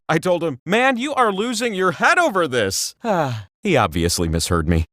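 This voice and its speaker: Pompous, swooping game-show wheel. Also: male voice, high voice, a bit bright, dry recording, clean studio sound. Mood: theatrical, wry. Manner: pompous, playful, grandiose delivery. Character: sentient giant game-show wheel, cocky, ancient male quizmaster. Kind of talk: theatrical scripted quiz show hosting.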